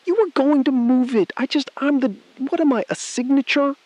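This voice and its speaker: An actor playing a driven, desperate bank robber turned whistleblower in an audio thriller, halting and confrontational. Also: male voice, extremely high pitch, darkish tone, no echo. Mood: agitated, pleading. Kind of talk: scripted audio thriller acting.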